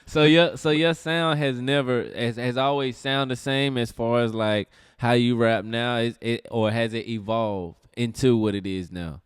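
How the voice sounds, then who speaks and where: A man hosting a casual podcast interview, relaxed and slangy as he asks questions. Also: mid-range voice, measured pace, few pauses, smooth, no room sound, clean studio sound. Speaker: podcast host.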